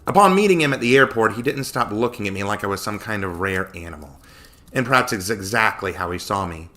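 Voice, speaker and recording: A man narrates a story with dramatic flair. His pitch moves widely and his tone is bright and forceful. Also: lively intonation, quick pace. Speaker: story reader. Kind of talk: dramatic audiobook-style narration.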